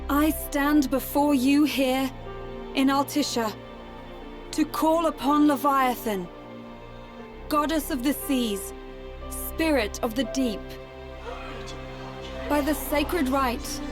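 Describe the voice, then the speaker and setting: An actress playing a gentle, solemn young oracle, giving a formal, earnest ceremonial speech in a video game. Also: female voice, high voice, music in the background. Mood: earnest, resolute. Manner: measured, deliberate, earnest, formal diction. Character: solemn, gentle young female oracle addressing her people.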